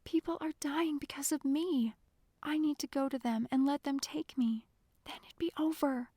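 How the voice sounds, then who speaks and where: A woman's voice: fairly high pitch, a little slow, breathy edge, no echo, clean studio sound. A woman voicing a frightened, guilt-ridden young heroine in an audiobook, in an anxious, choked whisper. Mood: anxious, hushed. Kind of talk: audiobook character dialogue.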